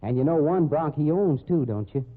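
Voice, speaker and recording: Jealous, scheming rodeo cowboy, tinny recording. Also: male voice, on the high side, lively intonation, moderate pace. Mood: scheming, heated. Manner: slangy, folksy cowboy talk. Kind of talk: old-time radio drama acting. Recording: tinny, band-limited old radio recording.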